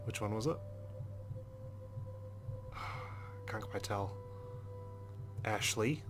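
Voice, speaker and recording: A man's voice: on the low side, extremely slow, music in the background. A man reading a visual novel aloud as a let's player, mixing scripted narration with casual commentary.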